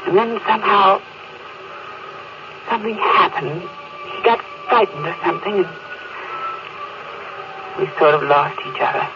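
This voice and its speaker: An actress playing a tender, sad mother, gentle and evasive, in a 1950s radio drama. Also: fairly low pitch, expressive, unhurried, pauses often, noisy background. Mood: wistful sadness. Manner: gentle, wistful. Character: wistful mother, tender but sad, evasive with her child. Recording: muffled vintage radio recording, music underneath.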